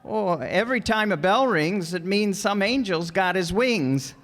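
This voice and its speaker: A man playing a gentle, guileless elderly guardian angel in a radio drama, courtly and fussy in an old-fashioned way. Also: high-pitched, animated, a little slow, heavily acted. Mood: excited, wondrous. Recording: echoey stage hall, long reverb.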